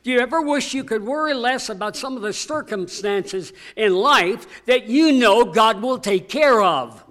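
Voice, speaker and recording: An older man preaching a sermon to a church congregation, booming and emphatic, slowing down to stress key words. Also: lively intonation, fairly bright tone. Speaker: pastor.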